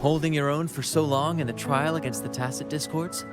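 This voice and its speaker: A flamboyant young captain of wandering players, acted by a man in a video game. He speaks with gallant, confident showmanship in a somewhat high male voice. Music is clearly audible underneath. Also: steady tone, fairly quick, natural voice. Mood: gallant, reassuring.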